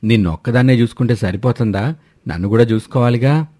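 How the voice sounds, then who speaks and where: A man's voice: medium pitch, quick pace, dark tone, no echo, close to an everyday voice. A man voicing an elderly father-in-law, a prodding, conniving husband in a cartoon moral story.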